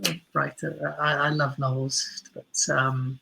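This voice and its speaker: A man speaking casually as an interview guest, explaining things with animated, stumbling self-corrections. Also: medium pitch, takes it slowly, gravelly, background noise. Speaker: interview guest, audio drama writer and director.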